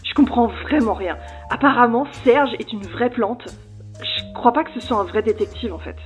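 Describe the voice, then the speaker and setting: A puzzled female client in a comedy audio serial, acted by a woman. Her voice sits on the high side and sounds genuinely lost as she deals with a private detective. Faint music runs behind her. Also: animated, normal speed, natural voice. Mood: perplexed. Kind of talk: comedic audio fiction acting.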